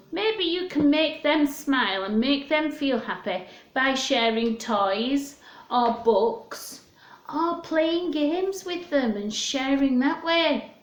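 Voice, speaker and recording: A woman's voice: on the high side, reverberant. A woman reading a story aloud to young children, speaking simply and expressively to her listeners.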